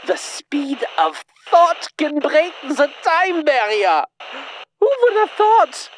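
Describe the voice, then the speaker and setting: A woman's voice: high voice, very animated, slow pace, exaggerated voice. A woman playing a giddy, self-delighted time-travelling inventor dictating a personal log in an audio drama, theatrical and self-congratulating. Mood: grandly triumphant.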